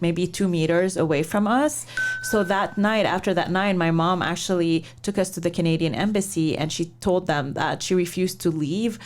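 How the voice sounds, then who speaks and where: Woman, from Lebanon, lowish voice, middle-aged, reflective interview storytelling, frequent pauses. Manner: measured, reflective, many pauses and fillers. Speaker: guest, startup founder and investor.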